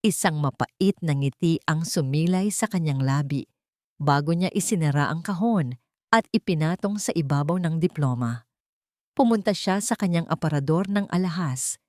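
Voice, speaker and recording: A woman narrating a scripted dramatic story in a measured, solemn way. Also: deep voice, darkish tone, no echo, clean studio sound.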